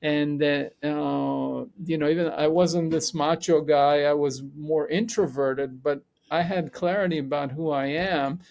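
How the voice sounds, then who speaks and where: A middle-aged man speaks. His voice sits a little high and carries a gravelly edge. He talks in a reflective, searching way, circling back and correcting himself as he goes. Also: fairly even intonation, measured pace, hardly any pauses, darkish tone. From Israel. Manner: thoughtful, rambling with self-corrections. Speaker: podcast guest, psychologist and coach. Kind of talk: reflective conversational interview.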